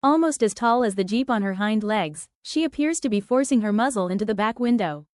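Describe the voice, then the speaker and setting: A woman's voice: medium pitch, animated, fairly quick, darkish tone, no echo, studio quality. A woman reading scripted passages aloud as narration. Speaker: reader of practice passages.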